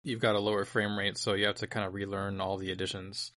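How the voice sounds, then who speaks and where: Man, lowish voice, dry close recording, detailed explainer, lots of uhs and likes, casual podcast chat. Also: little pitch movement, fast talker, bright, forceful tone, no echo. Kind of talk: casual chat about a video game.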